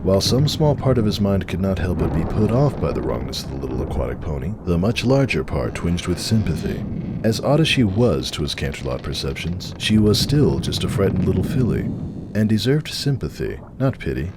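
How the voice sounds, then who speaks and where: A man's voice: low voice, big pitch swings, brisk. A man narrating a story dramatically from a script. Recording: clearly noisy, storm ambience behind.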